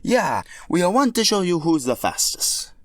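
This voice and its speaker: Excitable Italian plumber, high-pitched. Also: male voice, dry recording, clean studio sound, exaggerated voice. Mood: eager. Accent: put-on Italian. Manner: halting broken English, eager. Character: excitable Italian plumber hero with broken English.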